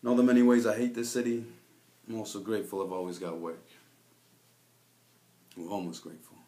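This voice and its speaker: A man's voice: lowish voice, flat delivery, fairly quick, dark tone, reverberant, natural voice. A man playing a cynical, world-weary private investigator, delivering a brooding noir monologue in a confiding, jaded manner. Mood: jaded, bitter. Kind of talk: scripted noir detective monologue.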